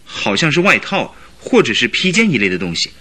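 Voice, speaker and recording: A man's voice: medium pitch, big pitch swings, fairly quick, sharp tone, slightly rough, exaggerated voice. A man in a Chinese radio drama playing a shaken young witness, uneasy and plaintive as he answers. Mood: uneasy, recollecting.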